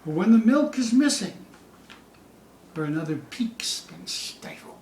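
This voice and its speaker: A man giving a theatrical poetry recital, dramatic and suspenseful, with drawn-out phrases moving between hushed dips and loud peaks. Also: sits high, big pitch swings, creaky. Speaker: poetry reader. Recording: distant, echoey room with faint hiss.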